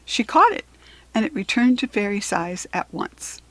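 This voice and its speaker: A woman with an American accent reading a children's book aloud in expressive narration, with a breathy voice. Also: medium pitch, moderate pace, no room sound, rough recording.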